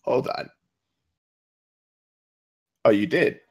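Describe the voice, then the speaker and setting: A man acting as narrator at a table read, deliberately announcing screenplay text and dropping into casual asides. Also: darkish tone. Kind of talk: reading screenplay directions aloud, with casual asides.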